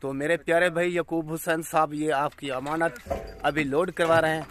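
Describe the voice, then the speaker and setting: A man's voice: on the high side, flat delivery, moderate pace, a bit bright, slight background noise. A man, narrating a casual farm vlog outdoors into a phone camera with a repetitive salesman's patter. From Pakistan. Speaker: goat farm owner and channel host. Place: outdoors on a farm, village road.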